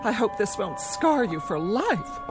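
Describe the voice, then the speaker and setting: A woman's voice: medium pitch, very animated, a little slow, music in the background. An actress playing a haughty, indignant society mother in an audio drama.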